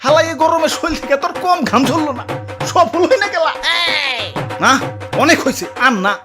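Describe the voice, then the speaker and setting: A man's voice: extremely high pitch, moderate pace. A man with a Bangladeshi accent playing a cheeky, grumbling cartoon hero, full of complaints and exclamations in comic dialogue. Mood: exasperated. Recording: music behind the voice.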